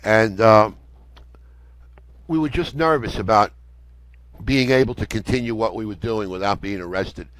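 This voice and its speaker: An older man speaks in a fairly low voice. He tells his story conversationally, as a guest in an interview. The sound is close and dry. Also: moderate pace, pauses often, a bit bright, no echo. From United States. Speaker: interview guest, ham radio operator.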